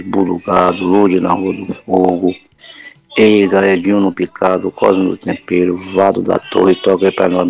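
A man from the listening audience, giving informal shout-outs on radio. His voice is deep, heavily creaky and husky, delivered in a monotone over faint music. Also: rough recording. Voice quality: gravelly, husky. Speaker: listener sending a greeting to a radio show.

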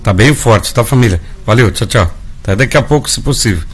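A man's voice: mid-range voice, lively intonation, a little fast, husky. A Brazilian man hosting a live gospel radio show, folksy and calling his listeners family. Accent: Brazilian Portuguese. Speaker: radio host.

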